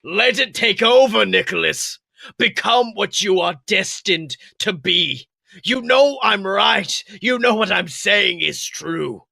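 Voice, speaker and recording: A man's voice: high voice, lively intonation, gravelly, sharp tone, dry recording, pitched above the performer's own voice, exaggerated voice. A man acting a menacing, brooding old wolf villain. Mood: goading. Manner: theatrical, slow, brooding speechmaking. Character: scarred old male wolf villain, menacing, grandiose, bitter. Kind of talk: dramatic storytelling character voice.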